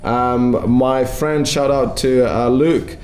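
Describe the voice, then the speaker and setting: A young man from Latvia, chatting on a podcast in a curious, animated way. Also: mid-range voice, takes it slowly, reverberant. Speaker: podcast co-host, actor. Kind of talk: casual chat.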